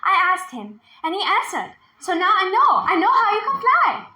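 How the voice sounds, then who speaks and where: A woman's voice: very high-pitched, big pitch swings, normal speed, bright, forceful tone, echoey room, pitched above the performer's own voice, full character voice. A woman reading a storybook as a brave, kind young village girl, speaking earnestly. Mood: happy, excited.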